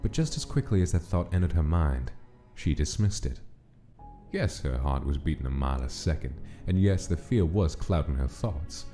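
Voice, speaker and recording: A man's voice: very deep voice, big pitch swings, normal speed, mellow, faint music behind. A man reading dramatic scripted story narration as the narrator of a comic dub.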